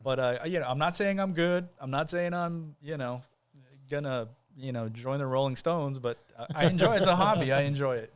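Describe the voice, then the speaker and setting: A man's voice: on the high side, fairly quick, clear, resonant tone. A man talking casually as a guest on a podcast, easygoing and rambling, with plenty of fillers and restarts. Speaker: guest, judicial candidate.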